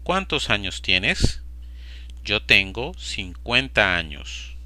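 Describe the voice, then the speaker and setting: Man, very slow deliberate delivery, sharp bright tone, dry close sound. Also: lowish voice, very animated, no echo. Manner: slow, deliberate, evenly paced. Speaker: Spanish teacher. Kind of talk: slow repetition drill for learners.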